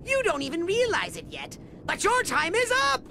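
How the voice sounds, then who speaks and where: Shrill, pompous little villain sorcerer. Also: male voice, huge pitch swings, moderate pace, slight background noise, full character voice. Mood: mocking, gloating. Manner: pompous, emphatic. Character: small bald pompous male villain sorcerer, indignant and shrill. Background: loud game soundtrack music.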